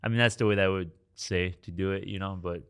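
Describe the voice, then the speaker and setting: Bright, smooth-voiced man from France. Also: deep voice, fairly even intonation, fast talker. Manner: earnest, encouraging, restarts often. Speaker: podcast guest. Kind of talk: casual interview chat.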